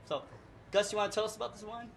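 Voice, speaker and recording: A man's voice: high voice, steady tone, fast talker, creaky, slight background noise. A man hosting a casual wine tasting, prompting and joking with his guests. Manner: jokey, prompting. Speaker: host, sales director. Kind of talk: casual chat.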